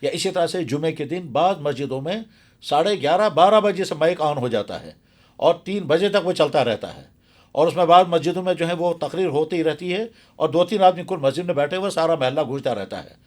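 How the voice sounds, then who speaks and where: Earnest male cleric, slightly high voice. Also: animated, a little fast. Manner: earnest, emphatic, repeats phrases for stress. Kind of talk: explanatory religious talk in an interview.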